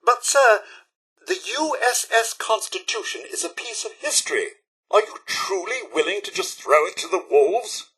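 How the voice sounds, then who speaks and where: An actor playing a fussy, polite and loyal robot butler in a video game, with a British accent and formal, prim, theatrical delivery. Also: male voice, high-pitched, very animated, normal speed, bright, forceful tone, husky, exaggerated voice, low-quality recording. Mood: indignant, reproachful. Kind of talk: video game companion dialogue.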